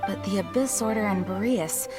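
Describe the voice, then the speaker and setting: A woman's voice: medium pitch, normal speed, close to an everyday voice. An actress playing a dutiful female knight commander in a game, formal and composed. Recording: game audio with music.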